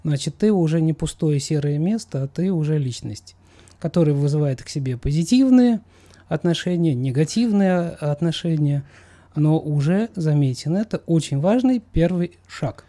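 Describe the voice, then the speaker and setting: Very mellow, higher-voiced male coach. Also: expressive, moderate pace. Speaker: psychologist guest. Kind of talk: conversational expert discussion.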